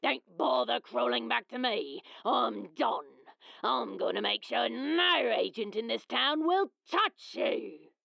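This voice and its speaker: A woman voicing a bitter, furious male talent agent in an audiobook, with a gravelly creak and a venomous, scornful delivery. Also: a little high, lively intonation, slow pace, a bit bright, dry recording, heavily acted. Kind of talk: audiobook character acting.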